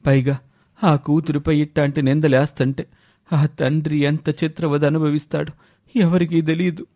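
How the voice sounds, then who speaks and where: A man's voice: on the high side, little pitch movement, normal speed, mellow, no room sound, clean studio sound, lightly acted. A man playing a troubled father in a moral story, speaking with an aggrieved, heavy-hearted manner. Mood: sorrowful, helpless. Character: sorrowful, helpless father of a slandered daughter. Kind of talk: dramatised moral story dialogue.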